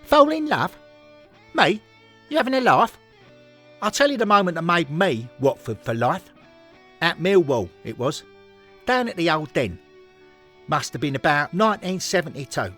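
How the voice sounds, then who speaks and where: Brash London football hooligan, wildly animated. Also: male voice, a little high, moderate pace, long pauses, faint music behind. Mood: cocky. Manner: brash, slangy, swaggering. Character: rowdy, cocky old-school male football hooligan, former chief steward.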